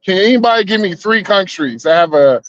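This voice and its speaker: Man, 30s, podcast co-host: high, profane, rambling, slightly muffled dry sound. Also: lively intonation, fairly quick, a bit bright, no echo. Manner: rambling, profane, stops and restarts a lot. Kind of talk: heated casual debate talk.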